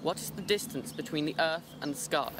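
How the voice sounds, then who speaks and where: A man's voice: fairly high pitch, fairly quick, natural voice. A man playing a proud, inquisitive king in a fairy tale, speaking in formal, courteous phrases. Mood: commanding, questioning. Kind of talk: fairy tale character acting. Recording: amateur recording with clear background noise.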